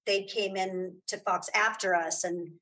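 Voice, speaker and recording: A woman's voice: mid-range voice, fairly even intonation, measured pace, pushed and bright. A woman speaking as a guest in a casual interview, chatty and informal, often restarting her sentences. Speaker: interview guest, audio drama creator.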